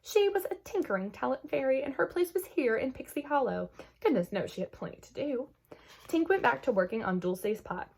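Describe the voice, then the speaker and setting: A woman reading a children's story aloud expressively, with dramatic emphasis and hushed moments. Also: high voice, lively intonation, a little fast, gravelly, husky. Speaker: children's book read-aloud host.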